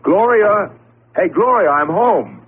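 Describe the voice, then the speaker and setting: A man's voice: sits high, expressive, takes it slowly, phone-quality sound. An American man acting in an old-time radio drama as a playful, eager professor and doting husband. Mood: coaxing, excited. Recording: band-limited, hissy old radio recording.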